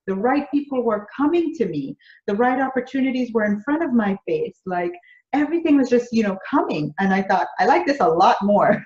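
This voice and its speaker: A woman's voice: medium pitch, darkish tone. A woman with a Jamaican accent speaks earnestly as an interview guest, stacking phrase upon phrase. Her voice carries the echo of a roomy space. The audio sounds slightly dull and lo-fi. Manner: earnest, builds through repeated phrases. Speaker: business coach, interview guest. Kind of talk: conversational interview answers.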